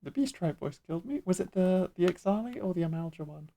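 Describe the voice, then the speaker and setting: A woman's voice: lowish voice, expressive, fast, vocal fry, warm tone, a little hiss or hum. A woman streamer chatting playfully and casually while playing a game, laughing easily. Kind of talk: casual let's-play commentary.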